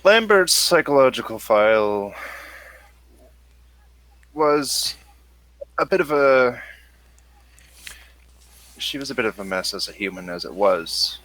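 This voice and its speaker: A man voicing a calm, formal android who speaks in deliberate phrases in a tabletop game. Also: mid-range voice, no room sound, voice pushed up. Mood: measured, clinical. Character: measured, formal male android advising calmly.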